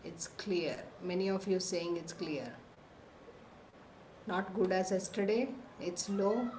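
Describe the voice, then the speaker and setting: A woman's voice: fairly low pitch, takes it slowly, reverberant, noisy background. Woman leading an online parenting class. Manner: reads lists of answers aloud, checks in with the class often. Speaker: online parenting workshop host. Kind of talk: live online class, reading chat answers aloud. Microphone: computer mic on a video call.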